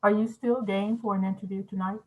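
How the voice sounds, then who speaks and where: A woman's voice: medium pitch, steady tone, a little fast, a little mellow, natural voice. A woman playing a considerate, earnest journalist in a screenplay table read. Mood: polite, earnest. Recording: thin, phone-like band-limited sound.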